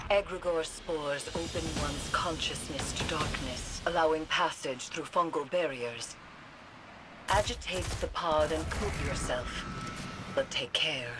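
A woman's voice: low-pitched, unhurried. An actress playing a grave, cryptic witch in a video game, speaking formally. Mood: grave, wary. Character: solemn, ominous witch guiding a soldier through haunted ship. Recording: loud game music and effects over voice.

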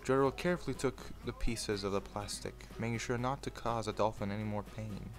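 A man's voice: fairly low pitch, brisk, faint music behind. A man in an informal, sweary manner, hosting a video and moving between casual commentary and storytelling. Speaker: video host reading fan fiction aloud.

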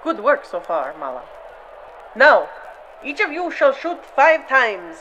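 A woman's voice: high-pitched, sing-song, slow, fairly bright tone, dull audio, a little hiss or hum, exaggerated voice. A woman playing a regal, protective Amazon queen and mother in a 1940s-style radio serial, formal and declamatory. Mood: proclaiming.

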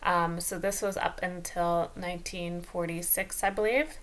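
Woman from Canada, vlog host; clean, smooth tone, relaxed voice-over with filler ums. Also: fairly low pitch, a little slow.

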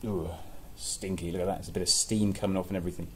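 Low-voiced man reading a children's story, noisy recording. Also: level tone, fast talker, darkish tone. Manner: playful, chatty asides between read passages. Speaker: storyteller reading a picture book. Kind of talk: expressive children's story reading with casual asides. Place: small plain indoor office-like room. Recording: clearly noisy room recording.

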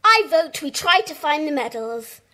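Opinionated schoolchild, bright high voice, blunt, children's drama. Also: female voice, animated, normal speed. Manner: matter-of-fact, opinionated. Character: blunt, critical schoolchild in a club. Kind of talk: children's audio drama acting.

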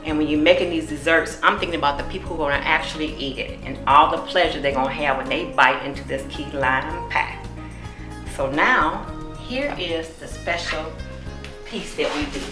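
A middle-aged home cook with a fairly low voice explains each step of a recipe warmly and encouragingly. She is recorded on a camera mic in an echoey kitchen. Music plays clearly in the background. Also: moderate pace. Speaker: cookbook author and home cook. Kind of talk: cooking demonstration.

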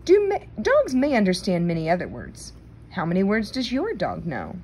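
Woman reading aloud with lively pitch swings. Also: normal speed, a little hiss or hum. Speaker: read-aloud reader. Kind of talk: children's nonfiction book read aloud.

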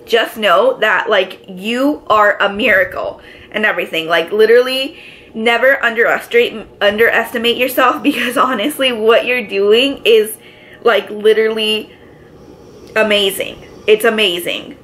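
Raspy-voiced pregnant woman chatting to camera. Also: on the high side, steady tone, measured pace, a bit bright, slight background noise. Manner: chatty, lots of filler words, gestures with both hands. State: congested from allergies. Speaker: vlogger. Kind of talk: casual chat to camera. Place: living room, seated on a couch. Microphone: camera in front, medium framing.

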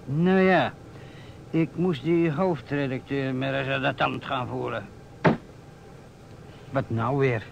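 A man's voice: medium pitch, steady tone, slow pace, vocal fry, long pauses, muffled recording. A man acting a persistent, businesslike police inspector in a Dutch radio play, asking probing questions. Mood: impatient. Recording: old radio recording, faint noise.

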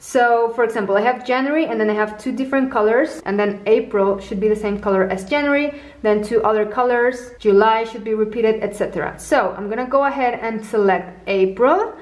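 A woman's voice: a little high, brisk. Patient woman walking through app steps. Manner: patient, explains each tap as she goes. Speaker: tutorial host. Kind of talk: step-by-step app tutorial narration. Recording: roomy echo.

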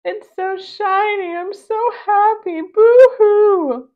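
Crybaby wolf from a kids book, really high, gushing, wailing. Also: female voice, slow, narrow, tinny audio. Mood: tearful joy. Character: tearful, overjoyed scaredy wolf in a children's storybook.

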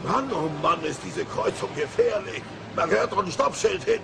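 A man voicing a dim, excitable cartoon family father with a blustering, creaky, declamatory delivery. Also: sits high, moderate pace. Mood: alarmed, indignant. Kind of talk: cartoon dubbing. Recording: noisy old cassette transfer.

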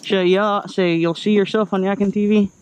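A man in his thirties talking casually to an action camera as he fishes from a kayak outdoors, chatty and excited as he coaxes a fish in. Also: high-pitched, normal speed, clear, resonant tone, no room sound, poor audio. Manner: chatty, excited coaxing while reeling in fish. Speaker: kayak fishing vlogger. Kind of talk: casual narration while fishing. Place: outdoors on a kayak and at a waterside lot. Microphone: action camera mounted on the kayak and handheld.

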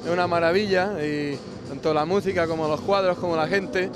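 A musician being interviewed, hesitant and repeating words. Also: male voice, fairly high pitch, fairly even intonation, brisk, over music. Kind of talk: street interview.

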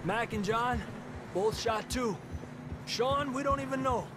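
A man's voice: extremely high pitch, a little slow. A man playing a watchful Mexican outlaw in a video game, a native Spanish speaker who calls out cautions and guides his companion. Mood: grim, recounting. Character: watchful male outlaw gunslinger guiding a companion. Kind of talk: video game dramatic acting. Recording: loud outdoor ambience noise.

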